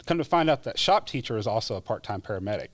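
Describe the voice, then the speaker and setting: A man, a former EMT, telling his life story in a relaxed, chatty way as a podcast guest, with frequent fillers and laughs. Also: lowish voice, fast talker, dry recording. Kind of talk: casual interview storytelling.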